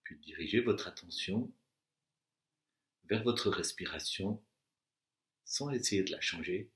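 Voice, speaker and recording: Low-voiced man guiding meditation, echoey room. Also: a bit bright. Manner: slow, deliberate instructions with long pauses. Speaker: yoga nidra and meditation teacher. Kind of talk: guided relaxation meditation.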